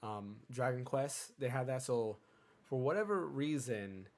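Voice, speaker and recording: A man's voice: medium pitch, lively intonation, a little slow, a little mellow. Chatty man with a cold, rambling. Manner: chatty, rambling, self-interrupting. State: hoarse from a cold. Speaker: podcast host. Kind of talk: casual gaming podcast chat.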